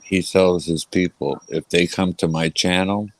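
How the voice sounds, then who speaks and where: A man's voice: low-pitched, steady tone, measured pace, no echo. A man chatting casually as a panelist, informal and repeating phrases for emphasis. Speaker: live chat panelist. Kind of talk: casual chat.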